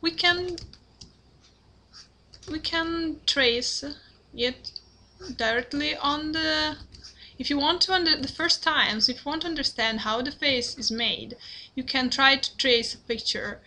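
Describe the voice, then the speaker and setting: A young Italian woman talks through a drawing lesson at her computer in hesitant, accented English. She speaks slowly, with a bright, fairly high voice, stopping often to apologize and search for words. A faint hiss sits behind her. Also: rough recording. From Italy. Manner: halting, apologetic, many fillers and restarts. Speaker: drawing tutorial creator. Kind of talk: hesitant screen-recorded drawing tutorial. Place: at a computer, indoors.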